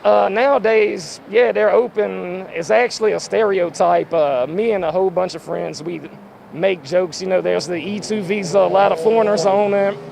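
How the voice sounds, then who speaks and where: A man's voice: high-pitched, normal speed, vocal fry. An American man from North Carolina talking casually as an interviewee. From North Carolina, United States. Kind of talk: casual street interview answers. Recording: faint street traffic noise.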